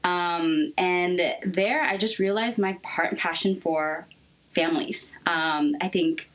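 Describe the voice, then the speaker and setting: A young adult American woman talking candidly as an interview guest, thoughtful and halting in her delivery. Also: lowish voice, a bit bright. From United States, raised in Seattle.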